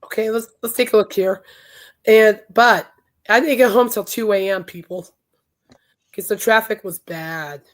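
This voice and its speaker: A woman hosts a casual podcast, rambling through her stories with real enthusiasm. Her voice is hoarse and raspy from a recent illness, worn at the edges. Her pitch sits in an ordinary middle range. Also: unhurried. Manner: chatty, enthusiastic storytelling. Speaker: podcast host.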